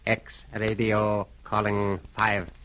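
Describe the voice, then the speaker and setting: An actor playing a sinister, commanding criminal mastermind in a 1930s radio drama, speaking formally and deliberately with cool courtesy. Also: male voice, lowish voice, completely flat delivery. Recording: noisy old 1930s radio recording.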